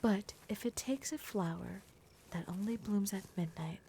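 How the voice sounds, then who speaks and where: A woman's voice: medium pitch, moderate pace, creaky, mellow, slight background noise, close to an everyday voice. A woman voicing a blunt, protective young werewolf girl in an ASMR roleplay, often dropping into a whisper. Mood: exasperated. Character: gruff, protective young werewolf girl, exasperated but caring.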